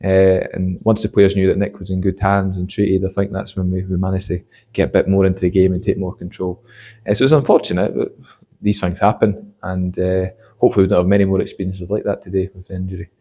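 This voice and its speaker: A young man, an assistant football manager, giving a measured post-match interview with many fillers, in a stadium corridor. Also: low voice, little pitch movement, warm tone, husky. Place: corridor by a sports changing room.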